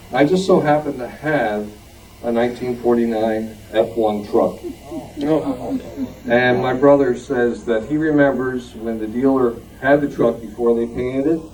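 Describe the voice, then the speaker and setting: Male club presenter, relaxed tone, meandering informal delivery, distant mic with some room noise. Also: medium pitch. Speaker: club member presenting a collectible. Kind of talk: informal show-and-tell presentation.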